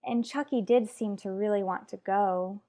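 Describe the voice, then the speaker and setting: A woman's voice: medium pitch, steady tone, moderate pace, warm tone, natural voice. A woman playing an anxious, protective mother in an old-time radio soap, earnest and troubled, questioning as she goes. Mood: worried. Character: anxious, wounded mother protective of her young son.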